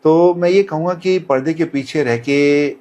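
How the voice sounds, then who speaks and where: A man who works as a radio presenter, answering interview questions in measured, courteous, formal phrasing with English words mixed in. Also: on the high side, a little mellow. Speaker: radio presenter, podcast guest.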